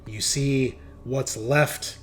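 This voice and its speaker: A man's voice: medium pitch, steady tone, very slow. A man running a tabletop roleplaying game, improvising descriptive narration and calling out rules. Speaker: game master.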